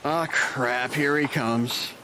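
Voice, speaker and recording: A man's voice: mid-range voice, fairly even intonation, slow, pushed and bright, slight background noise. An actor playing a dry, sarcastic, burned-out male nurse showing a new hire around, in an audio drama. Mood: sardonic.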